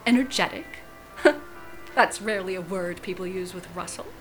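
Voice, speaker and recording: A woman's voice: fairly low pitch, normal speed, soft background music, close to an everyday voice, poor audio. A woman playing an arch, pointed middle-aged wife who coolly needles her friend in an audio drama. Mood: wry, nostalgic.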